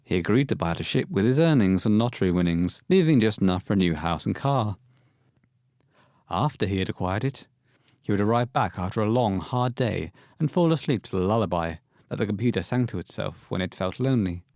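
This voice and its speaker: A man's voice: on the low side, lively intonation, fairly quick, darkish tone, dry recording, clean studio sound. A man reading scripted narration as the storyteller of an audio horror play.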